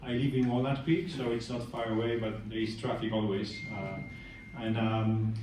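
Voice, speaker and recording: A man's voice: fairly low pitch, little pitch movement, normal speed, recorded from a distance, background noise. A middle-aged man from Poland with a Polish accent, giving a meetup tech talk with lots of hesitant fillers and restarts. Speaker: tech speaker. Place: large meeting room. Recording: echoey room, speaker off-mic.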